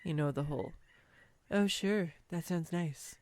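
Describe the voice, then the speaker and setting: A woman's voice: on the low side, measured pace, darkish tone, no room sound, lightly acted. A woman playing a clumsy, sweetly awkward young woman smitten on a first date, speaking tenderly and playfully to the listener in a romantic audio roleplay. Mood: giddy, excited. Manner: tender, playful, hesitant, self-deprecating. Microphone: close USB microphone, dry room.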